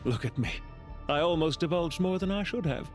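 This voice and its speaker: A man playing a calm, courteous, scheming villain officer in a video game, speaking in a polite, formal, measured and theatrical way. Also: fairly high pitch, soft background music, natural voice. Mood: courteous, probing. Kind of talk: scripted video game voice acting.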